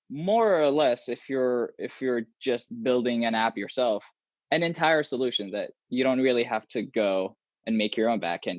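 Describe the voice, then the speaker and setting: A man's voice: mid-range voice, no room sound. Young man, Arabic native, video call. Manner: animated, gestures a lot, many restarts. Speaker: guest software engineer. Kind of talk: casual interview talk. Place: small room at home. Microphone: earbuds on a video call. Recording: dull, slightly lo-fi video call audio.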